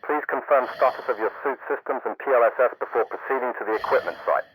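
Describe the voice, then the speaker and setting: A man with a British accent voicing a calm, formal mission controller giving procedural radio instructions in a game. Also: medium pitch, quick pace, slightly rough, dry recording, rough recording. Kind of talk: game voice acting.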